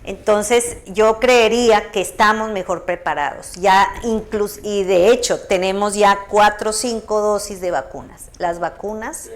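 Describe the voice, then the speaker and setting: Woman, author at a public talk, measured and explanatory. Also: medium pitch. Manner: measured, explanatory, frequent "¿no?" tags. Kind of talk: explanatory talk answering audience.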